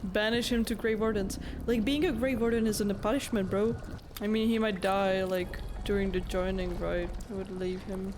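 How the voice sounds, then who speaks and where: A woman's voice: medium pitch, steady tone, normal speed, hardly any pauses. Casual woman gamer over noisy game sound. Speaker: game streamer. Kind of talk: casual gameplay reaction commentary.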